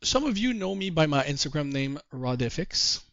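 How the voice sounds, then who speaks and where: A man with a French Canadian accent, a native French speaker, presenting to a group call in halting English and telling his story with repeated refrains. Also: a little high, big pitch swings, gravelly, fairly bright tone, no echo, muffled recording. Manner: self-deprecating, halting English. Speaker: trading course presenter.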